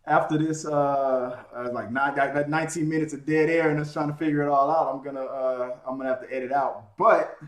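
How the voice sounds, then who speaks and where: A man's voice: medium pitch, level tone, brisk, hardly any pauses. A man from Houston hosting a casual live-stream chat, loose and joking with many restarts. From Houston, Texas. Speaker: podcast host. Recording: echoey room.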